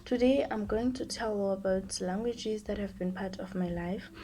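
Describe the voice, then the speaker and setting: A woman's voice: mid-range voice, normal speed, a little hiss or hum. An eighteen-year-old woman, a Xhosa speaker with a Xhosa accent in English, working through prepared questions one at a time as a student teacher. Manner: steady, answers each question in turn. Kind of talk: reading prepared answers to questions.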